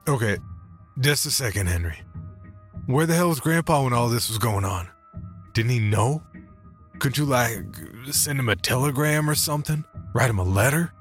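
Guy doing a worked-up younger dude in a story, disbelieving, voice pitched up, light music behind. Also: moderate pace, lightly acted. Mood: agitated. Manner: exclaiming, sputtering, casual swearing. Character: incredulous, excitable younger man questioning an elder relative. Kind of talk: dramatized story dialogue.

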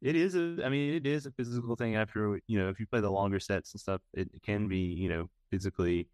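A man in his thirties, a band's bass player, answering interview questions in an easygoing way. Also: fast talker, darkish tone, clear, resonant tone, no room sound. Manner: easygoing, lots of "you know" and "like". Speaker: band bassist, guest. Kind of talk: casual interview.

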